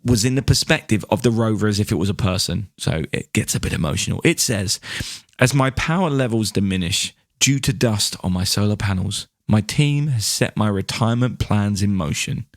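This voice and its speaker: A man's voice: lowish voice, lively intonation, moderate pace, dry recording, studio quality. A man co-hosting a podcast, presenting news in a conversational style. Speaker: podcast co-host.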